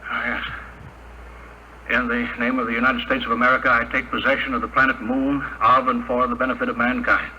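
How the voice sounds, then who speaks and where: Very sharp-voiced scientist explorer. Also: male voice, mid-range voice, flat delivery, brisk. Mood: solemn. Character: brisk, cautious male scientist explorer. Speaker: radio actor. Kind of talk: 1950s radio drama acting. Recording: noisy old radio broadcast.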